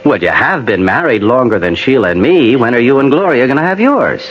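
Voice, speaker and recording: An actor in a 1980 radio drama playing an easygoing married writer, chatty and folksy, who also narrates. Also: male voice, mid-range voice, lively intonation, brisk, lightly acted. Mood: jovial. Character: easygoing married writer and peat cutter, warm old friend. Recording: dull, lightly noisy old radio recording.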